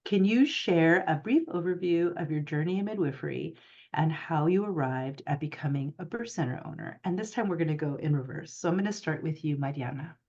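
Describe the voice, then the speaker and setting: A woman's voice: low-pitched, fairly quick, darkish tone, dull audio. A woman moderating an online panel in an informal, chatty, explaining way over a video call. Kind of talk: casual hosting of an online panel.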